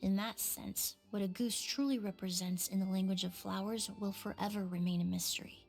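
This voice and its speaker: A woman playing a gentle, thoughtful young perfumer in a video game, measured and earnest. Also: medium pitch, steady tone, gravelly, slightly rough. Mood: wistful, solemn. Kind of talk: video game dialogue acting. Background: music.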